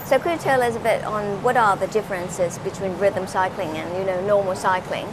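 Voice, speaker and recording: A woman's voice: mid-range voice, fairly quick. Female TV host, polite interviewer, filler-heavy questions, noisy background. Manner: polite, frequent "you know" fillers. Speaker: TV show host. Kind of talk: broadcast interview and scripted intro.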